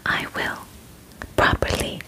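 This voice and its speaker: Young woman playing a whispering, gentle alien examiner. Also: poor audio. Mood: gentle, reassuring. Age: young adult. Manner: soft, slow, formal, soothing phrasing. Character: gentle, clinical female alien examiner, calmly reassuring. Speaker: ASMR roleplay performer. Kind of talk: whispered ASMR roleplay, personal attention. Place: bedroom set, indoors. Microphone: close ASMR microphone.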